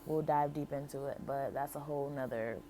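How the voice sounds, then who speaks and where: A woman's voice: extremely low pitch, fairly even intonation, a little fast, mellow, a little hiss or hum. A young American woman tells a personal story casually to her viewers, in a candid, conversational way with emphatic repetition. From Buffalo, New York, and Las Vegas, United States. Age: young adult. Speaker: vlogger telling her life story.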